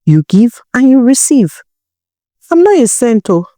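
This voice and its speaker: A woman's voice: a little high, sing-song, unhurried, mellow, dry recording, studio quality, pitched above the performer's own voice. A woman storyteller voicing a worldly, scornful young Nigerian woman who lectures bluntly in a folktale. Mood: scornful, lecturing. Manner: blunt, lecturing, rhetorical questions. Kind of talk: storybook character dialogue.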